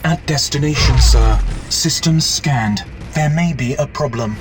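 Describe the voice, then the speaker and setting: A man's voice: medium pitch, measured pace, lightly acted. A man voicing a formal, unflappable AI assistant in a video game, reporting in clipped, deferential phrases. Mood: calm, dutiful. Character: formal, unflappable artificial intelligence butler assistant. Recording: loud game music and engine noise.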